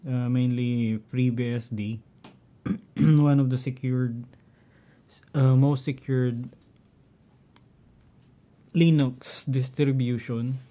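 A middle-aged man with a Filipino accent, talking through his home network setup in a halting way, often clearing his throat. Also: mid-range voice, fairly even intonation, mellow. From Philippines. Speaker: tech vlogger. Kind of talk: casual screen-share walkthrough.

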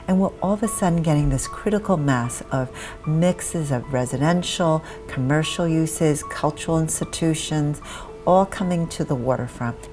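Middle-aged female interviewee. Low register, conversational documentary soundbites over clear music bed. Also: a little mellow. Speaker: former head of an advocacy association.

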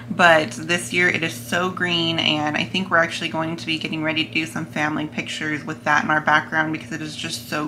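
A woman's voice: low voice, background noise. A young adult American woman chatting casually to camera in a vlog, seated in a plain room at home. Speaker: vlogger.

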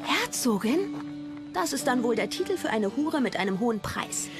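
A jealous, haughty court mistress speaks in a high, swooping voice full of scorn. It is venomous period drama with music clearly behind it. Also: female voice, sing-song, moderate pace. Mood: scornful. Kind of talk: dubbed historical docudrama dialogue.

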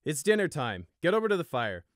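A hotheaded pegasus soldier barking orders, as a man reading a storybook puts him on. The voice is loud and blunt, pitched above the reader's own, and sounds dry. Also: very animated, fairly quick, dry recording. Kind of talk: audiobook character voice.

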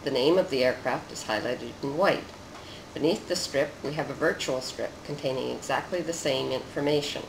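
A narrator reading a scripted research demonstration in a measured, explanatory way. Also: medium pitch, vocal fry. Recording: roomy echo with noticeable background noise.

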